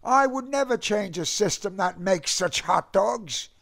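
A man puts on an eager young immigrant, his voice lifted high above the narration and speaking broken English. The lines swing with lively, bouncing pitch and have a gravelly edge. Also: a little slow, full character voice. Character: hot-dog-loving young male Russian immigrant.

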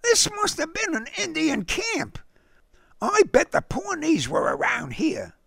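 A man's voice: very high-pitched, huge pitch swings, unhurried, gravelly, a bit bright, no room sound, studio quality, pitched above the performer's own voice, exaggerated voice. A man voicing a curious, unworried young boy in a story, matter-of-fact and a little dismissive.

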